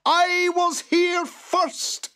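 Hairy monster, very high screech, slow drawn-out words, blustering, greedy, storybook villain. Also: flat delivery, sharp tone, full character voice. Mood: shrieking rage. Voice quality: shrill, strained, screeching. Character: great big hairy boggart, shrieking, raging, greedy and gullible.

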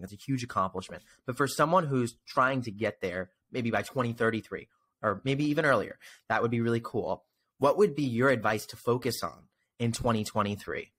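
A man's voice: medium pitch, fairly bright tone. A young man speaking as an interview host, asking questions in a casual style, close to a desktop microphone. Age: young adult. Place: indoor room, on a video call. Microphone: desktop microphone close to the mouth.

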